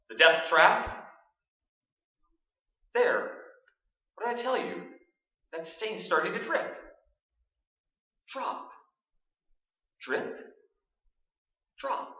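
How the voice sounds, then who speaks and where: Unhinged radio reporter in a stage suspense play; very high, piercing, echoing from far off. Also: male voice, bright, forceful tone, muffled recording, full character voice. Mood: uneasy, eerie. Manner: theatrical, addressing listeners and unseen company. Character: frantic male radio broadcaster losing his mind with fear. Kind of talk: 1940s suspense radio acting. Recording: echoey stage, distant microphone.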